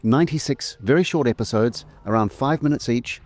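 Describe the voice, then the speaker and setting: A man's voice: mid-range voice, fairly quick, a little mellow. A middle-aged or older man presenting a scripted trailer as its narrator. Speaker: presenter and remastering producer. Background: faint music.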